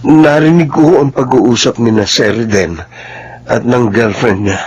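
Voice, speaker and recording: A man's voice: medium pitch, measured pace, breathy edge, highs cut off. A man playing a respectful, loyal household employee, polite and earnest, in a Filipino radio drama. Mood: earnest, troubled.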